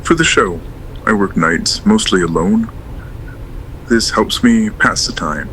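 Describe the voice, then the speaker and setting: A man playing a deep, bright-edged, modest radio caller. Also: animated, slow, slight background noise, low-quality recording. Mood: shy, grateful. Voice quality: distinctive deep voice. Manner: hesitant, apologetic. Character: polite, modest male night-shift worker calling in.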